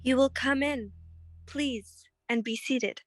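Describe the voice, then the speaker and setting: Woman acting an imperious, high-voiced fortune-teller. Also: slow, music in the background. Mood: imperious, ominous. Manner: commanding, portentous, formal. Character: imperious, mysterious fortune-teller madam, coldly ominous. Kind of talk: 1940s detective radio play acting.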